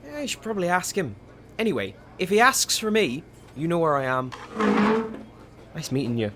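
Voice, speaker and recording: Actor as lively, cheeky blunt-talking friend. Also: medium pitch, moderate pace, background noise, natural voice. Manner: blunt, colloquial. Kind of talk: scripted audio drama acting.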